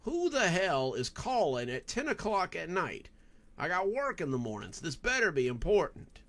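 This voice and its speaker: Man playing a brusque, irritable boss, raised pitch. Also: wildly swooping pitch, normal speed, fairly bright tone, exaggerated voice. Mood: irritated. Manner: brusque, dismissive, swearing. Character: gruff, irritable older male boss.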